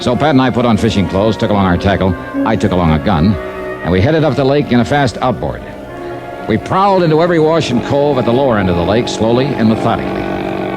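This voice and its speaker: A shrewd American radio detective with a low, lively delivery. He tells his case coolly and in clipped, hard-boiled fashion. Loud music runs on this old radio recording. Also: low-quality recording. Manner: hard-boiled first-person narration, clipped dialogue. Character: shrewd, cool-headed male insurance investigator, narrating his own case. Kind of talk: 1950s American radio detective drama acting.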